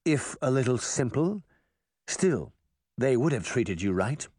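A man voicing a menacing magic-mirror villain who mocks in a slow, theatrical way. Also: medium pitch, sing-song, darkish tone, breathy edge, no room sound, studio quality. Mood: coldly taunting.

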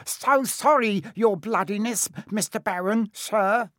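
A man voicing a wicked, mischievous poltergeist in a storybook, with singsong, fawning, drawn-out phrasing. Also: sits high, sing-song, no room sound, studio quality, voice pushed up, heavily acted. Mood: greasy fawning.

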